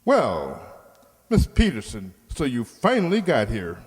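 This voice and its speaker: A man's voice: mid-range voice, wildly swooping pitch, measured pace, background noise. A man playing a brusque, sarcastic, impatient office boss in old-time radio comedy style. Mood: exasperated. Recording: echoey hall, long reverb.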